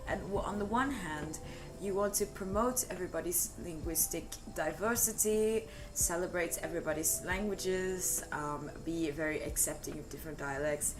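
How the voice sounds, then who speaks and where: A woman with a fairly low voice talks through her ideas on a live stream, pausing on fillers and laughing easily. Music plays clearly in the background. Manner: thoughtful, explains with many fillers, laughs easily. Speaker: co-host of a language stream. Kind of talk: casual discussion on a live stream. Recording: music playing behind the talk.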